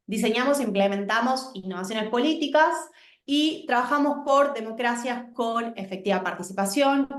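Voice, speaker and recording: A woman's voice: on the high side, roomy sound. A woman from Argentina, a guest speaker presenting her organization on a video call in a composed, explanatory way.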